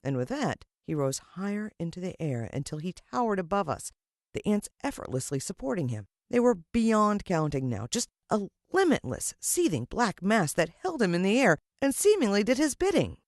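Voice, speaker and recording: A woman's voice: medium pitch, very animated, normal speed, dry recording, studio quality. A woman with an American accent reading a children's story expressively as an audiobook narrator.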